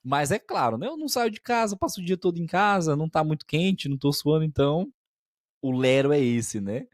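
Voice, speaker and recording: A man's voice: a little high, animated, brisk, dry recording, clean studio sound. A man co-hosting a podcast as a language teacher, explaining expressions patiently and often checking that listeners follow.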